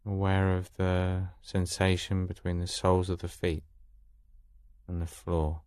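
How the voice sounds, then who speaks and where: A man guiding a meditation in a calm, soothing manner with long pauses, recorded close in a dry space. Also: deep voice, clear, resonant tone, dry recording. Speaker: meditation guide. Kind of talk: guided meditation.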